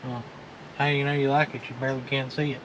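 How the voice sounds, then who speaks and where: A man's voice: level tone, fast talker, fairly bright tone, dull audio. A man in his thirties chatting casually in short, offhand remarks while sorting through boxes. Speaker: storage unit reseller. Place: open storage unit doorway, outdoors. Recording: noisy outdoor camera audio, vehicle rumble.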